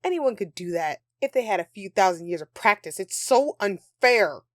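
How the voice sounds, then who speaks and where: A woman's voice: mid-range voice, expressive, normal speed, no room sound, studio quality, natural voice. A woman playing a grumpy but affectionate Christmas elf in an intimate audio roleplay, with a sarcastic, dramatic, sighing delivery. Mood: indignant ranting.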